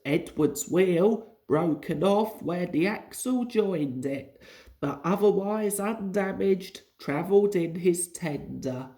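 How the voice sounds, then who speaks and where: A woman's voice: fairly low pitch, expressive, takes it slowly, warm tone. A woman reading a children's story aloud as its narrator.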